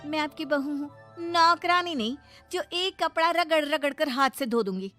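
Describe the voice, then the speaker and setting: A woman voicing a defiant, sharp-tongued young daughter-in-law in a cartoon moral story, arguing insistently. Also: sits high, normal speed, music in the background, lightly acted. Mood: defiant, annoyed. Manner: argumentative, insistent.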